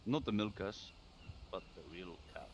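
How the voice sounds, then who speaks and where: A man's voice: lowish voice, normal speed, lo-fi sound, slight background noise. A man chatting casually as a guest in a voice call, swearing freely, laughing and restarting his sentences. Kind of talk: casual voice chat. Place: home room. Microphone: desk microphone.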